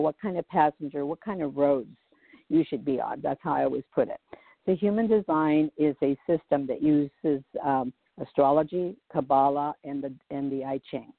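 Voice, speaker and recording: A woman who works as an intuitive healer explains her work in an interview, speaking in a measured way and often checking in with the listener. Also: low voice, dry recording. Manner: measured, checks in with "okay?" and "does that make sense?". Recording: tinny phone-like line, dry.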